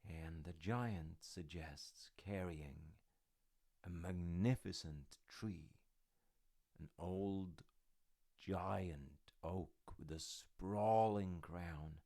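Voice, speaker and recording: Very deep, gravelly, slow-talking dad played by a man. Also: dry recording, clean studio sound, full character voice. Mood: wry storytelling. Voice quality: low, gravelly. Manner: unhurried, musing, gently wry. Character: easygoing older dad telling his child a folktale. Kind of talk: intimate roleplay storytelling with casual rambling asides.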